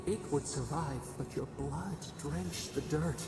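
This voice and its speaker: An actor voicing an ancient collective nature spirit in a fantasy game, solemn and mournful, with a gravelly, portentous, incantatory delivery that echoes under music. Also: male voice, a little high, unhurried. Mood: grave, reproachful. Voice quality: gravelly, creaking. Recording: cavernous echo, distant, under loud music.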